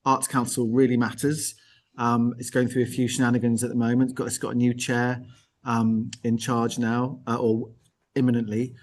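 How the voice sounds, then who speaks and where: A man's voice: mid-range voice, completely flat delivery, moderate pace. A man hosting an informal live interview in a conversational way, often rephrasing and listing as he goes. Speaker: host.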